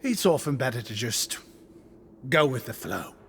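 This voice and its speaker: A man's voice: medium pitch, animated, measured pace, creaky, a bit bright, slightly rough, long reverb tail, exaggerated voice. An actor playing an affable, chatty young male shopkeeper in a video game, effusive and breezy with a self-deprecating streak. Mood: effusive, admiring.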